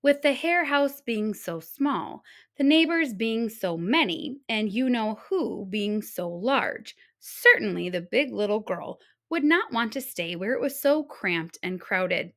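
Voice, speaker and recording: Expressive, high-voiced woman storyteller. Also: measured pace. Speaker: storybook reader. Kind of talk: children's read-aloud narration.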